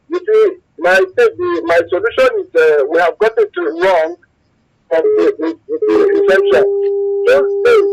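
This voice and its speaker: A Nigerian man phones in to a radio programme with a very high, creaky voice. He argues his point emphatically, circling back over phrases and hunting for words. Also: huge pitch swings, a little slow, poor audio. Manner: emphatic, repetitive, searching for words. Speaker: phone-in caller. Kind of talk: opinionated radio call-in talk.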